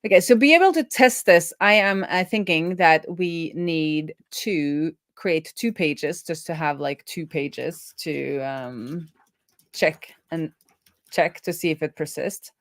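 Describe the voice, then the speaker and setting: A woman live-streaming as a programmer, thinking aloud in a casual, halting way as she mutters through her work. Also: deep voice, dry recording. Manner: halting, muttering to herself while working.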